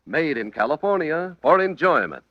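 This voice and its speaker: High-pitched American male vintage radio announcer. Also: sing-song, moderate pace, no echo. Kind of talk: 1940s radio advertising. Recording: dull, dry 1940s radio recording. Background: faint music.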